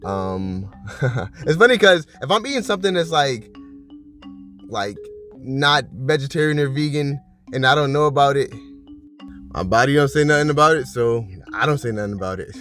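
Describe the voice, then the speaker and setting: A man hosting a casual video, talking loosely with fillers and frequent laughs, reading list items aloud, his voice bassy from just waking up. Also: a bit bright. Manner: rambling, laughs often, many fillers. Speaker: vlogger host.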